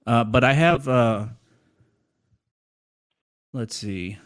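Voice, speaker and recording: Man, deadpan, hesitant official patter, prank-call delivery, medium pitch, close dry recording. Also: extremely slow, no echo. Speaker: prank caller posing as consumer center agent.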